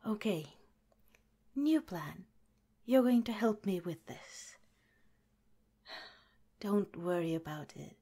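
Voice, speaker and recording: A woman playing a gentle whispering lab director. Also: mid-range voice, expressive. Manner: soft whispering, slow and gentle. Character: gentle caring female head scientist of secret lab. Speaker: ASMR roleplay performer. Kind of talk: ASMR roleplay.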